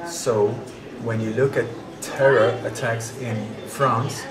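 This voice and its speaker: A man with a middle-pitched voice talks earnestly, hammering home points by repeating words. He is recorded in an echoey conference hall. A loud crowd murmurs behind him. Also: fairly even intonation, takes it slowly. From Denmark and Sweden. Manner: earnest, repetitive, emphatic. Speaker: interviewee. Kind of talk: conference interview. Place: conference venue indoors. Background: loud crowd chatter.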